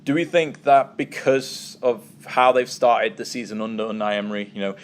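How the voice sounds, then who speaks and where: A man's voice: mid-range voice, normal speed, smooth. Chatty man hosting a sports preview. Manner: chatty, hesitant with many ums and uhs. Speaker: host. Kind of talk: casual sports chat.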